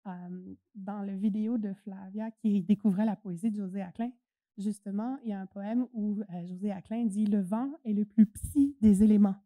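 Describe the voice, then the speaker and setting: Woman, conference speaker, mellow dark tone, casual hesitant delivery. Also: mid-range voice, moderate pace, no echo. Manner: chatty, hesitant with many fillers. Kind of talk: presentation talk.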